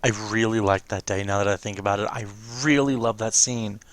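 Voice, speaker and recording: A man's voice: fairly low pitch, a little fast, dry recording. A man giving casual, rambling, enthusiastic reaction commentary as a let's play host.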